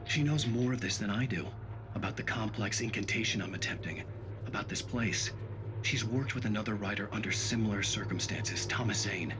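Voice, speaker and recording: An actor playing a troubled, serious writer, narrating a grim thriller in the first person. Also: male voice, on the low side, brisk, noisy background, natural voice. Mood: brooding. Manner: brooding, serious.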